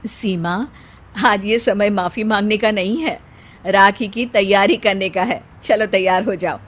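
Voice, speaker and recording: A woman's voice: mid-range voice, unhurried, natural voice. A woman voicing a kind, forgiving elder sister in a cartoon moral story, speaking in an obliging way. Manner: kindly, obliging.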